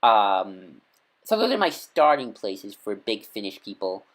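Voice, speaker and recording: Rambling fan, full of ums. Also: male voice, lowish voice, very animated, a little slow. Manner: rambling, many ums and restarts, enthusiastic fan. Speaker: video creator recommending audio dramas. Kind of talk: casual unscripted talk to camera.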